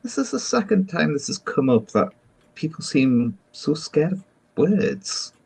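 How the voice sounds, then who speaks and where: A man's voice: fairly high pitch, sing-song, unhurried, vocal fry, darkish tone, husky. A man chatting casually as a player in a tabletop game.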